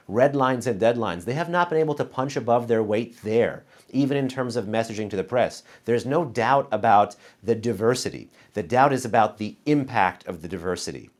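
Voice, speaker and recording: A man hosting a panel discussion who makes dense, argumentative points and adds quick footnotes. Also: mid-range voice. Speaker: host moderating a panel.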